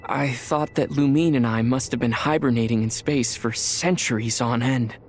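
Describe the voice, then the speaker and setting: An actor voicing a thoughtful young male traveler in a video game cutscene, speaking in a quiet, measured, reflective way. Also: medium pitch, fairly even intonation, a little hiss or hum, natural voice.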